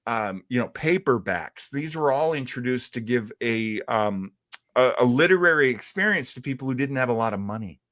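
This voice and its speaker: A man's voice: mid-range voice, animated, moderate pace, fairly bright tone, no echo, clean studio sound. A man hosting a casual livestream chat, talking in a chatty, jokey way. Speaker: show host.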